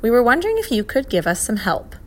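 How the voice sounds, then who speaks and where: A woman voicing a courteous male professor in a storybook reading, speaking briskly and decisively. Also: medium pitch, very animated. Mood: polite.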